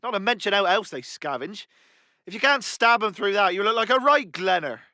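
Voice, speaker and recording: High, forceful, mean commando. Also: male voice, lively intonation, a little fast, no echo, clean studio sound, heavily acted. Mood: harsh, scornful. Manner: blunt, coarse, barking. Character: mean, foul-mouthed male Allied commando, hard and scornful. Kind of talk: video game unit voice lines.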